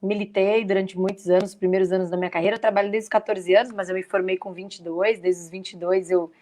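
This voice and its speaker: A middle-aged Brazilian woman from São Paulo talking casually about herself as an interview guest, a little haltingly. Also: mid-range voice, steady tone, a little mellow. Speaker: interview guest, lawyer turned executive. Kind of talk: casual personal talk.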